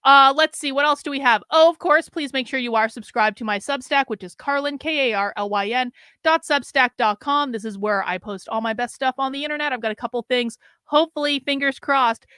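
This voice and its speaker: Brief: chatty middle-aged woman streamer, a bit hoarse, smooth higher voice, dry close sound. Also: sharp tone, dry recording. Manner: chatty, rambling, wry asides to the audience. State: losing her voice. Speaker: livestream host. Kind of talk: casual livestream chat.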